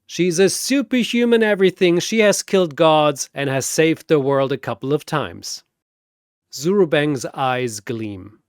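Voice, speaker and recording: A man delivering dramatic, deliberate, wry scripted narration of a comic fantasy battle show. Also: fairly high pitch, animated, smooth, no echo, studio quality. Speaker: narrator and announcer.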